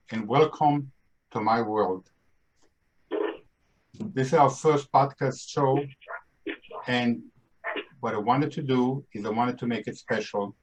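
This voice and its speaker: A man's voice: medium pitch, low-quality recording. A man in his seventies with a Brooklyn accent, hosting a podcast in a playful, emphatic, upbeat way. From Austria and Brooklyn, New York. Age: 70s. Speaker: podcast host. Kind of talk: casual podcast monologue.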